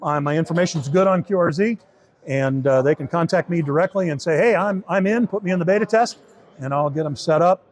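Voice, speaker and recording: A man's voice: on the high side, a little fast. A man giving an informal, step-by-step demo and explanation of how something works. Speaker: beta test supervisor giving a product demo.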